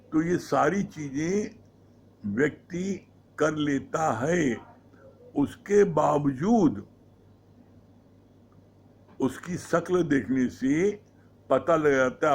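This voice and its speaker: A man giving a devotional discourse on scripture. He explains slowly and keeps asking rhetorical questions, with English words mixed into his Hindi. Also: mid-range voice. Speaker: satsang speaker.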